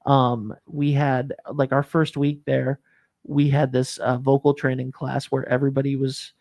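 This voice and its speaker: A man's voice: mid-range voice, level tone, unhurried, vocal fry, a little mellow. A man hosting a casual morning talk show, rambling with plenty of fillers and restarts. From Rhode Island. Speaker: show host. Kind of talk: casual chat.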